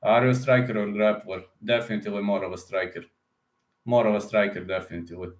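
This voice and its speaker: A man's voice: fairly low pitch, fairly even intonation, quick pace. A man chatting casually on a live stream, rambling and often repeating words as he restarts sentences. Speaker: MMA fighter and betting-picks streamer. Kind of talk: casual live-stream chat reading comments. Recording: echoey room.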